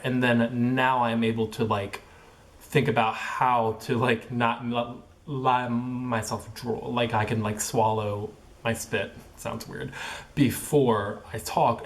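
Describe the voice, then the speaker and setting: A young man who stutters, talking casually in a personal vlog, with repeated sounds, blocks and many fillers like "like" and "um". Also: mid-range voice. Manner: filler-heavy, halting phrasing with many likes and ums. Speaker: vlogger.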